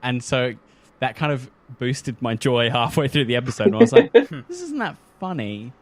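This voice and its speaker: A man talking casually as a podcast panelist, a little halting as he thinks aloud. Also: mid-range voice, big pitch swings, crowd noise. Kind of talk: casual chat.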